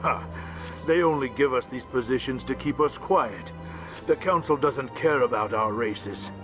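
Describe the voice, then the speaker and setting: A man's voice: medium pitch, unhurried, over music, lightly acted. A man voicing a small, grumpy, aggrieved alien diplomat in a video game, curt and world-weary. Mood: bitter, scornful. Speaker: ambassador. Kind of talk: video game character dialogue.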